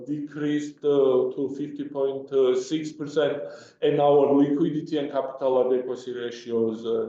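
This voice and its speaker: A very dark, muted male voice gives an earnings call presentation. He speaks haltingly, often pausing and repeating words. The sound is muffled and heavily echoing. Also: mid-range voice. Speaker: company executive presenting financial results. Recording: muffled, very echoey conference call audio.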